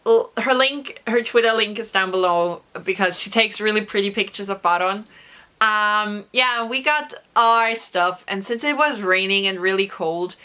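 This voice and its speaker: A young woman vlogger with a hoarse voice from a recent cough, chatting through an excited story with many fillers. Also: medium pitch. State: hoarse, recovering from lost voice. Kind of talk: casual storytelling vlog.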